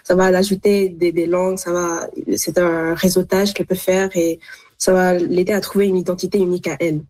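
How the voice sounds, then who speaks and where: Lower-pitched teenage girl, earnest and emphatic. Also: little pitch movement, brisk, a little mellow. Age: teens, high school student. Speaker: interview guest. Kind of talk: casual conversational answers.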